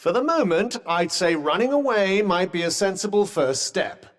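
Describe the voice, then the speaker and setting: A haughty enchanted vambrace speaks as a video game companion, with a British accent and a fairly high male voice. The delivery is very animated, sliding up and down in pitch. The phrasing is pompous and formal, laced with dry, sardonic wit. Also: moderate pace, big-room sound. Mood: wry, musing. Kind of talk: video game character dialogue.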